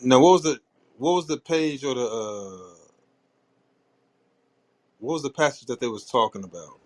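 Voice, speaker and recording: A man's voice: mid-range voice, lively intonation. Loose, joking podcast host, a man. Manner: reads passages aloud haltingly. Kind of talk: casual chat.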